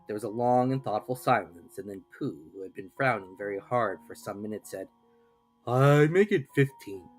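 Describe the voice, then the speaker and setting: A woman reading a children's story as a dramatic narrator, expressive and measured. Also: rumbling low, slightly rough, soft background music, poor audio.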